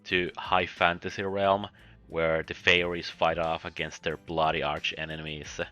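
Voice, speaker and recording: A very low-voiced man narrates a scripted book review. His tone is bright and forceful, and his delivery is wry and joking, with frequent chuckles. Faint music plays underneath. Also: level tone, unhurried, dull audio. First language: Finnish.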